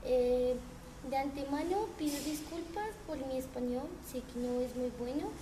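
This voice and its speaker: A woman's voice: sits high, measured pace. A woman playing a polite female historian guest, speaking hesitantly with fillers. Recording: echoey, noisy homemade recording.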